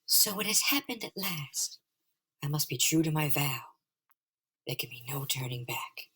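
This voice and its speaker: A man's voice: on the high side, lively intonation, a little slow, sharp tone, far from the mic, pitched above the performer's own voice. A man voicing an earnest, determined young superheroine in a dramatic comic-book reading. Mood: solemn resolve.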